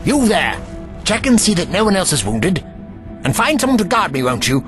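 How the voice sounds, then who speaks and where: A man acts an eccentric British time traveller in a sci-fi radio play. He is courteous yet bossy, with old-fashioned phrasing and hugely varied pitch. Music plays clearly behind him. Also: big pitch swings, normal speed. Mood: bossy, indignant. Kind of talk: audio drama acting.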